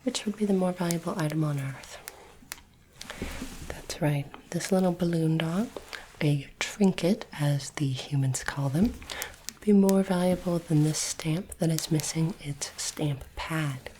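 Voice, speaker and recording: Woman as softly whispering, low-voiced alien interviewer. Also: slight background noise, poor audio. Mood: measured, curious. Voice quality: soft breathy whisper. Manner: slow, deliberate, formal phrasing. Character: polite, curious alien interviewer studying human items. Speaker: ASMR roleplayer. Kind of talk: whispered ASMR roleplay.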